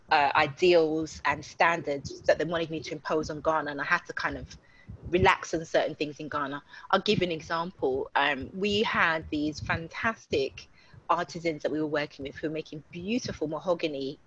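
Guest, British-born woman, lowish voice, chatty and filler-heavy, muffled video-call audio. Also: husky. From United Kingdom. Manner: chatty, warm storytelling with many fillers. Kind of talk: casual online interview.

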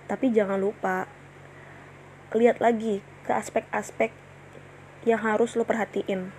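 A woman's voice: mid-range voice, fairly even intonation, a little slow, darkish tone, a little hiss or hum, rough recording. A woman in her twenties hosting her own casual solo podcast, chatting in slang with many fillers and restarts. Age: 20s. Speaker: podcast host.

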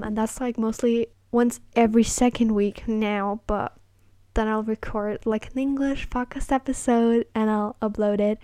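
A woman's voice: fairly high pitch, mellow, no echo. An eighteen-year-old woman hosting a casual solo podcast on camera. She rambles hesitantly with many "like" fillers and apologizes self-consciously. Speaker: podcast host.

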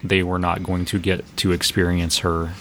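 A man's voice: deep voice, completely flat delivery, moderate pace, a bit bright, slight background noise. A man hosting a casual podcast chat, talking in a hesitant, conversational way. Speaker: podcast host.

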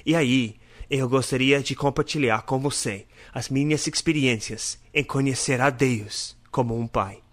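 A man's voice: medium pitch, a little slow, few pauses, no room sound. A young American man hosting his own podcast, speaking Portuguese as a learner with an English accent, friendly and earnest, joking and stumbling over words. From North America. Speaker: podcast host. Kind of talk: casual solo podcast monologue.

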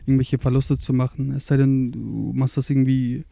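A man's voice: medium pitch, level tone, a little fast, creaky, no echo. A young man from Germany, chatting as a guest about travel, hesitant, with many fillers. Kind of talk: casual conversation about travel. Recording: tinny lo-fi phone-like sound.

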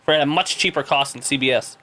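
A man's voice: medium pitch, fairly quick, sharp tone, slight background noise. A man co-hosting a podcast, chatting casually and playfully, laughing often. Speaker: podcast co-host. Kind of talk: casual comedic chat.

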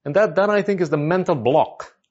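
A man's voice: fairly high pitch, a little fast, a little mellow. A man from Indonesia interviewing a guest, hesitant and ending points with tag questions. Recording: dull lo-fi sound.

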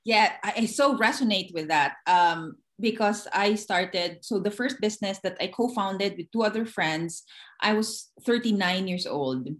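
A woman in her forties hosting an interview podcast, bubbly and quick to laugh. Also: medium pitch, expressive. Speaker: podcast host. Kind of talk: casual interview chat.

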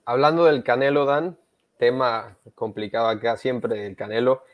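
Fast-talking male interview co-host. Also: mid-range voice, steady tone, muffled recording, crowd noise. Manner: summarizing, brisk. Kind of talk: bilingual interview, translating.

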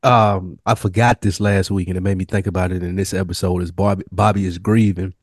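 A man co-hosting a TV review podcast, chatting casually with slangy, animated storytelling. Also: low-pitched, fairly quick, no room sound, studio quality. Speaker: podcast co-host. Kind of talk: casual chat.

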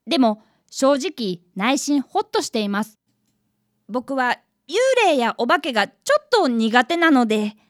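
A woman's voice: fairly high pitch, expressive, a little slow, no echo, studio quality. A woman playing a polite, timid fifth-grade boy who is an apprentice detective in a radio drama. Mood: earnest narrating. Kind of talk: radio drama narration and dialogue.

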